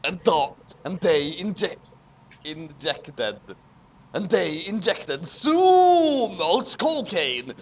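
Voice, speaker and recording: A man's voice: high voice, sing-song, very slow. A man giving a dramatic, comic reading of fan fiction, theatrical and exclamatory, with casual asides. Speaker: fan fiction reader, voice performer.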